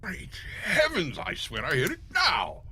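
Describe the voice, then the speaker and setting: An excitable gentleman sidekick acted by a man in a 1940s-style radio mystery. He blusters in a bright, forceful, highish voice that leaps about, flustered, with faint noise behind. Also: unhurried, exaggerated voice. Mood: aghast. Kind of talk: live 1940s radio mystery acting with comic ad-libs.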